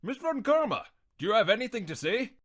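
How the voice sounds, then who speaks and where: Man playing a high, befuddled old judge. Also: very animated, fairly quick, a bit bright, heavily acted. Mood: puzzled. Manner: hesitant, stammering, flustered. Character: befuddled, blustering old male courtroom judge. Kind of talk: game fan dub acting.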